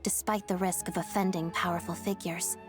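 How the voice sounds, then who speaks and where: A woman's voice: on the low side, level tone, brisk, soft background music, lightly acted. A woman voicing a polite, principled young woman in an anime game, formal, courteous and reserved. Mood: earnest.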